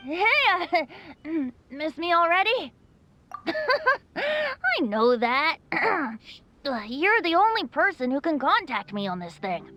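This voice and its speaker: Woman playing a high, boyish, carefree bard. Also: sing-song, takes it slowly, bright, forceful tone, exaggerated voice. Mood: sheepish, sniffly. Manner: hesitant, casual, giggly, rambling. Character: carefree, boyish young male bard, sheepish and evasive. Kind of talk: video game voice acting.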